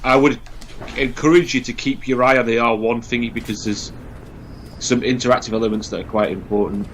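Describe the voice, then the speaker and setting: A man's voice: medium pitch, fairly even intonation, brisk, a little hiss or hum. A British man giving casual co-commentary on a video game, explaining and bantering. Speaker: let's play co-commentator.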